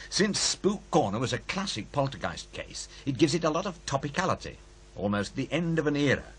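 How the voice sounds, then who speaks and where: A man's voice: mid-range voice, sing-song, brisk, slightly rough. An actor playing a stubborn, sceptical male freelance programme maker in a radio play, dry and insistent. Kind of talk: naturalistic radio play dialogue.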